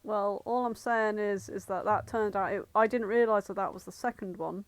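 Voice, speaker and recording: A woman's voice: mid-range voice, steady tone, normal speed, dry recording. A woman co-hosting a podcast in casual comedic chat, with a playful, joking manner. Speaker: podcast co-host.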